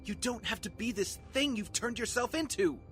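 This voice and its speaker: A man's voice: very high voice, expressive, moderate pace, fairly bright tone, soft background music. An actor playing an earnest, guilt-ridden young male hero in an audio drama, pleading emphatically. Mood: impassioned, pleading.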